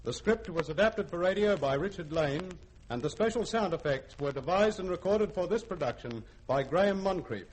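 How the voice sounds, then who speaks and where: A man's voice: high-pitched, lively intonation, normal speed. A man, a radio producer formally and precisely reading scripted credits. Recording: faintly noisy vintage radio recording.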